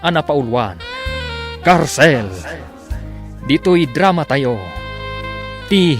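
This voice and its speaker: Slow, dramatic Ilocano radio announcer man. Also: on the high side, lively intonation, music in the background. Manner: dramatic, declamatory. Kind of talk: scripted narration, theatrical radio announcing.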